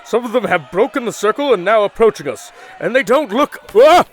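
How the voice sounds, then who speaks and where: High-voiced, animated field reporter acted by a man. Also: fairly quick, rough recording. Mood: panicked, pleading. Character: bold, earnest male field news reporter. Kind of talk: audio drama on-location report acting.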